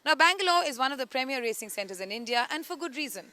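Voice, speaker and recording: A woman hosting a racing show, delivering links briskly and upbeat. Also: high voice, lively intonation, sharp tone. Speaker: show host. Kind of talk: presenter links.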